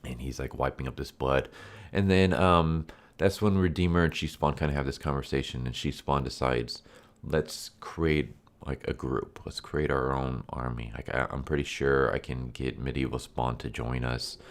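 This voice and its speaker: Man, very deep voice, stuffy and congested, rambling casual comic reviewer, lots of fillers. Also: fairly even intonation, moderate pace. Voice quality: nasal, stuffy. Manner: rambling, full of fillers and restarts. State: congested from illness.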